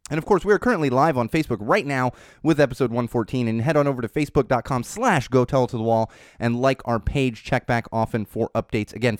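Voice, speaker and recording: A man's voice: medium pitch, clear, resonant tone, dry recording, studio quality. Congested chatty male podcast host. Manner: chatty, rambling, self-promoting. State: congested from a cold. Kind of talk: casual solo podcast talk. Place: small studio. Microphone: studio microphone.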